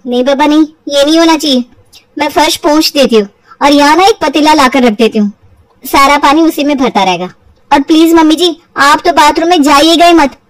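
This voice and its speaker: A woman voicing a caring, slightly put-upon young daughter-in-law, earnest and expressive, in an acted storybook. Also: high-pitched, brisk, dry recording, lightly acted, poor audio. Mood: eager, caring. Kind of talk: acted Hindi audio storybook.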